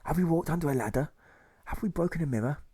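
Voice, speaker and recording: A man's voice: fairly high pitch, lively intonation, fast, dark tone, breathy edge, no room sound, pitched above the performer's own voice. Worried, whingeing prisoner, voiced by a man. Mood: fretful. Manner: whining. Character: anxious fretful male prisoner engineer.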